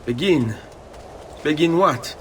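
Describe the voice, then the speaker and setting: A man's voice: on the high side, animated, takes it slowly, a bit bright, slight background noise. An actor playing a proud, stubborn young Italian nobleman in a video game, curt and skeptical, with an Italian accent. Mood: curious. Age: young adult. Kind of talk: video game cutscene acting.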